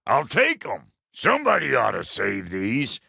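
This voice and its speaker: A man voicing a gruff, foul-mouthed but good-hearted dwarf blacksmith in a video game, with a rough Southern American accent and a blunt, folksy manner. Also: medium pitch, wildly swooping pitch, unhurried, pushed and bright, dry recording, clean studio sound, exaggerated voice. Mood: gruffly sincere. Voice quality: gravelly, rough-edged. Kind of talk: video game character acting.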